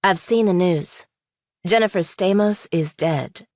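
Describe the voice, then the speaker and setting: A woman's voice: fairly low pitch, unhurried, darkish tone, dry recording, studio quality, natural voice. A woman voicing a skeptical young law student in an audiobook, clipped, probing and lawyerly. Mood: dry, skeptical. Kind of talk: audiobook character dialogue.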